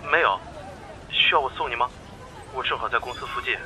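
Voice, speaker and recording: A man's voice: medium pitch, brisk, crowd noise, close to an everyday voice, poor audio. A man playing a courteous, attentive young man in an amateur audio drama. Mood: casual, inviting. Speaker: amateur voice actor.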